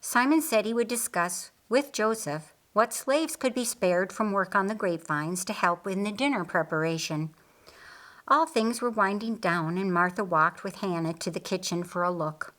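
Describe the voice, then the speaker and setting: A woman's voice: mid-range voice, moderate pace, a bit bright. A woman narrating a scripted story as an audiobook reader.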